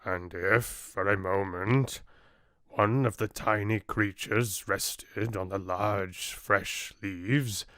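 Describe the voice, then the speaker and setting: A man voicing an ancient, solemn and gentle tree-giant who narrates a fairy tale audiobook in a deliberate, measured manner. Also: fairly low pitch, steady tone, dry recording, clean studio sound.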